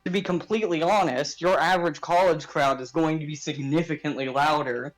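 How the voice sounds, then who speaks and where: A man's voice: fairly high pitch, steady tone, fairly quick, poor audio. A man chatting casually and emphatically about sports as a podcast host, in informal language.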